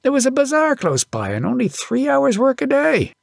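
Casting: man acting middle-aged elephant driver, storybook father, scolding and proud, high swooping delivery, archaic phrasing. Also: huge pitch swings, moderate pace, vocal fry, no echo, clean studio sound, exaggerated voice. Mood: weary grumbling. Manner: indignant, archaic thee-and-thou speech. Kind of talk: audiobook character voice.

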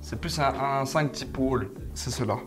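A man's voice: mid-range voice, measured pace. A young man co-hosting a casual barber product review in a playful way, speaking into a lapel mic in an indoor studio. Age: young adult. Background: background music.

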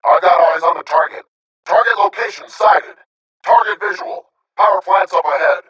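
A confident robot sniper played by a man from a video game, pitched high with a bright, forceful edge. He barks clipped, military-style orders with urgency and discipline. The sound is echoey. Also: moderate pace, far from the mic, lo-fi sound, close to an everyday voice. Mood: brisk, commanding. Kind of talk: military radio-style combat callouts.

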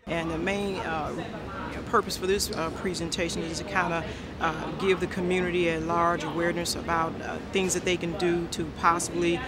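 A woman's voice: lowish voice, little pitch movement, normal speed, over a noisy crowd. A middle-aged woman police officer giving an emphatic presentation to a community meeting in a hall. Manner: emphatic, repeats key points. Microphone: handheld microphone.

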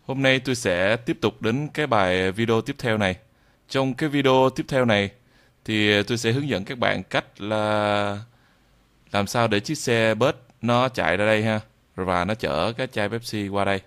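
A man narrating an informal software tutorial in a halting way, with frequent drawn-out hesitations. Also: on the low side, smooth. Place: indoors at a computer, screen recording voice-over.

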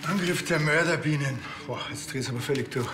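Dry, grumpy Bavarian policeman, played by a man, higher-pitched. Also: fairly quick, background noise, close to an everyday voice. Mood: sardonic. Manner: dry, sardonic. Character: grumpy, sarcastic Bavarian male village policeman. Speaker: actor in a police comedy series. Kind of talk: naturalistic TV comedy dialogue.

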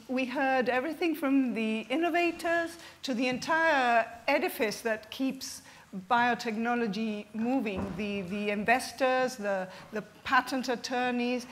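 A woman with a somewhat high voice moderates a conference panel. She introduces each speaker in a halting way, with many ums and uhs. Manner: warm thanks, halting with fillers. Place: conference hall stage.

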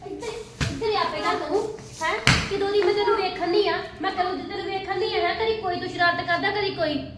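A woman acts out a quick-tempered young sister-in-law in a family drama, snapping out fast, scolding lines in a very high voice. Her retorts come rapid-fire. The room around her rings with echo. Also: distant mic, very noisy.

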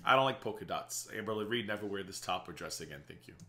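A man in his thirties talks quickly and sarcastically through a reaction video, his voice bright with a slight rasp. He sits at a desk in a small home office with earbuds in. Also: low voice. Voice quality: slightly raspy from a strained voice. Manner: chatty and sarcastic, quick asides. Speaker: reaction channel host. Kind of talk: casual reaction commentary.

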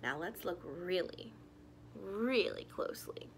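A woman's voice: fairly low pitch, lively intonation, takes it slowly, slight background noise. A young woman reading a children's picture book aloud, expressively, addressing little listeners directly with questions. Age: young adult. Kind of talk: children's story read-aloud. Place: living room at home.

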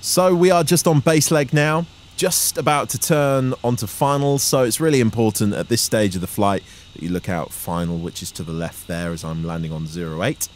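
A man in his thirties giving measured, instructional vlog narration as a student pilot. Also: lowish voice. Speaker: student microlight pilot, vlogger. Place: small microlight cockpit in flight. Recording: steady engine and cockpit noise.

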